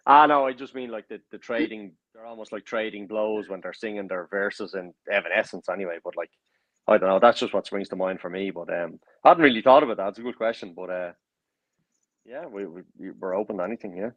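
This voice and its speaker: Low-voiced man, joking band member. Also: brisk, dry recording. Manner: joking, trailing off softly. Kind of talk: casual interview chat. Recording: dry, dull lo-fi audio.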